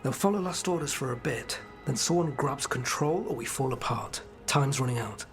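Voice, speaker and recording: An actor playing a weary underworld smuggler in a video game, grim and terse. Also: male voice, mid-range voice, animated, moderate pace, soft background music, lightly acted. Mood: grim, subdued.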